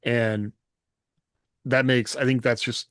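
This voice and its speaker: A man's voice: mid-range voice, little pitch movement, slow, a bit bright, clean tone, dry recording, studio quality. A man talking casually and conversationally as a co-host on a podcast. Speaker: podcast co-host and science fiction author.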